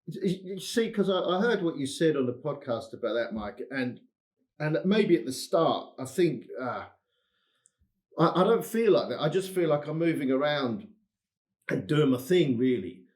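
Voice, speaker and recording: A man's voice: fairly high pitch, sing-song, moderate pace, a little mellow. A middle-aged man with an English accent, a guest chatting casually and self-deprecatingly, often repeating words as he starts a sentence. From England. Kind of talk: casual conversation.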